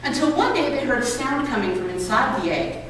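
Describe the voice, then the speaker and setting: A woman reading aloud to a gathering with clear, expressive storybook phrasing. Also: sits high, fairly quick. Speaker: reader at a public read-aloud event. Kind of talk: reading a children's book and an essay aloud. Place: college building lobby. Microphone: distant microphone. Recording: echoey hall, distant mic, noisy ambience.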